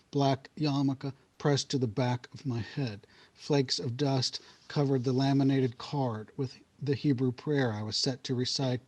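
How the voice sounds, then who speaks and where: A middle-aged man, an author, reads from his memoir in a steady, deliberate voice, then chats casually. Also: mid-range voice. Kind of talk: literary reading then conversation.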